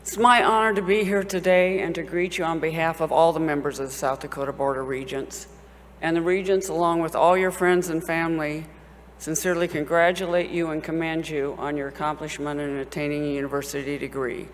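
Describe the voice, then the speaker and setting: A woman's voice: low-pitched, steady tone, a little fast. A woman who is a board of regents member gives a formal, dignified address while conferring degrees in a large ceremony hall. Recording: long hall reverb, faint background noise.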